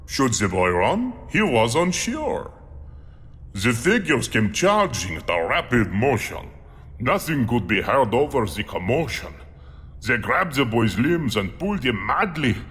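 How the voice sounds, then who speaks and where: A man's voice: mid-range voice, sing-song, unhurried, a bit bright. A man playing a huge, courteous cannibal monster in an audio drama, earnest and proud, with formal, theatrical delivery. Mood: solemn, dramatic. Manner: formal, polite, theatrical verse recital. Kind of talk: audio drama acting with poetry recital. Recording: long hall-like reverb.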